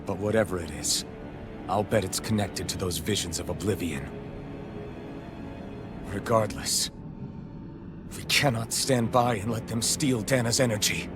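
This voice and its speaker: Man playing a lowish, earnest young hero. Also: a little slow, long pauses, lightly acted. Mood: serious resolve. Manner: serious, determined. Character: earnest, resolute young male hero leader. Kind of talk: dramatic video game acting. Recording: music behind.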